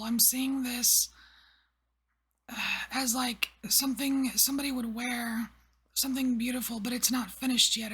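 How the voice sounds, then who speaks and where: A woman giving a whispered tarot reading, rambling softly with many fillers. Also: a little high. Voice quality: breathy. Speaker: tarot reader.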